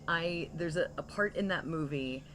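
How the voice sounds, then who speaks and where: A woman's voice: low voice, moderate pace. An American woman in her forties chatting casually and expressively as a podcast co-host. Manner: animated, expressive, gesturing. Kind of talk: casual chat. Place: screened porch outdoors. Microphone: shared tabletop mic, sitting back from it. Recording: clear outdoor ambience noise, birds and insects.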